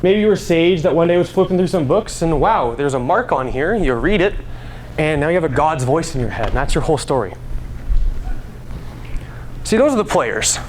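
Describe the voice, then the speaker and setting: Young man, student presenter. High-pitched, animated, conversational stage delivery with some noise behind. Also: moderate pace, long pauses, low-quality recording. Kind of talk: prepared live speech. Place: school stage. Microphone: clip-on mic. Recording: live room, background noise.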